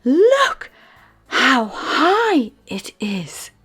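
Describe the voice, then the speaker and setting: Eager young male puppy for a storybook, played by a woman: high, childlike, very animated, music underneath. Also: extremely slow, slightly rough, heavily acted. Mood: amazed. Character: excitable young male puppy, cheerful and polite.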